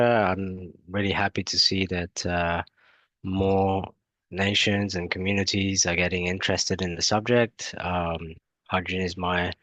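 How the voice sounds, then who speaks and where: Male presenter, British accent with a twang, low voice, dry close sound, halting delivery. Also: a bit bright, dry recording, lo-fi sound. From half French, half British. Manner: hesitant, many fillers and restarts. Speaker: guest presenter, energy company head. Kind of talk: webinar presentation.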